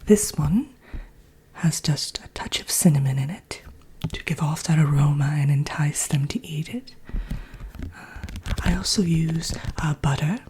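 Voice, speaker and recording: A woman playing a haughty, wry evil queen villainess who teaches a workshop in a soft-spoken, whispered ASMR roleplay. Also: low voice, husky, exaggerated voice, poor audio. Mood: instructive, soft. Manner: haughty, wry, hesitant asides, deliberate.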